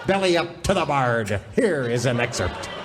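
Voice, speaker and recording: Man as lively, flowery radio pitchman. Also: normal speed, crowd noise, lightly acted. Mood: gushing salesmanship. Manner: flowery, salesmanlike. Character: grandly effusive mail-order record pitchman. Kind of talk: parody radio commercial announcing. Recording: live audience behind the voice.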